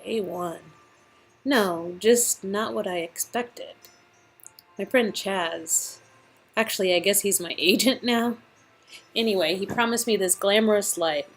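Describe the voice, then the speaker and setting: A woman's voice: mid-range voice, measured pace. A woman reading the part of a starry-eyed, earnest young would-be actress in dialogue from a visual novel game. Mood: wistful, doubtful.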